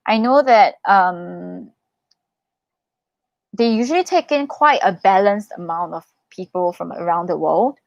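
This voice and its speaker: A young adult woman hosting a casual live Q&A, reading out questions and giving chatty, expressive, reassuring advice. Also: mid-range voice, measured pace, creaky, no echo, lo-fi sound. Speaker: livestream host, international student in Korea.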